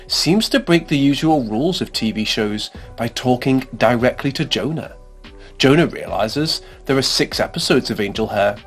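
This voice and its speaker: A man delivers scripted explainer narration in a medium-pitched voice. His reading is measured and formal, like a prepared essay. Music plays behind him. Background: background music under voice-over.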